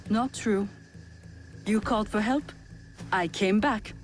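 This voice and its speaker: A woman's voice: medium pitch, slow, faint music behind, lightly acted. An actress playing a blunt, sceptical female war veteran in a video game drama, with a wry, reproachful manner.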